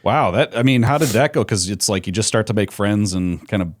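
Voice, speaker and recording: A man's voice: lowish voice, animated, fairly quick, no echo, studio quality. Joking male podcast interviewer from the Midwest. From Midwest USA. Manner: short, encouraging backchannel replies and jokes. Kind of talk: casual interview chat.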